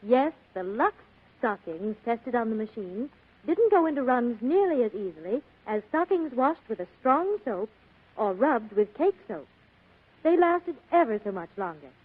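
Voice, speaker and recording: An American woman delivers scripted advertising copy in 1940s radio style. Her voice is high and very varied in pitch. The sound is tinny and narrow, like an old broadcast. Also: very animated, a little slow, breathy edge. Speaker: commercial announcer.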